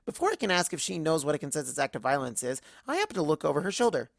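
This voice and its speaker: A woman's voice: low-pitched, big pitch swings, very fast, gravelly, no echo, studio quality. A woman narrating a story expressively in character, as a fan fiction reader.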